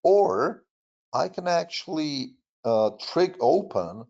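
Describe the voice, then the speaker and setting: A man with a Czech accent, Czech his first language, presenting a software demo in a webinar with frequent fillers and restarts. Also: fairly high pitch, sing-song, muffled recording. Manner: halting, many fillers and restarts. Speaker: product presenter.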